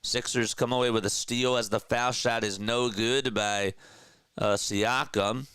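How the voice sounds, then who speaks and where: A man with a stuffy nose calling live basketball play-by-play in an energetic, chatty way, talking to his viewers between plays. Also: mid-range voice, a little slow, clean tone, no echo, clean studio sound. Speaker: play-by-play caller.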